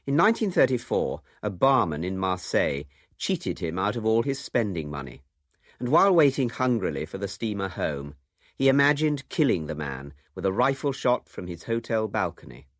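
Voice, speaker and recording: A man's voice: fairly low pitch, dry recording, studio quality. A man with a British accent reading scripted narration for a radio documentary.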